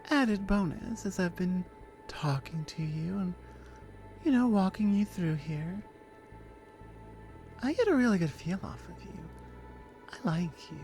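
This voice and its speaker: Woman voicing a low, flirty, confident oni gym buddy. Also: dark tone, heavily acted. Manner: teasing, coaxing, intimate. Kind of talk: ASMR roleplay, improvised.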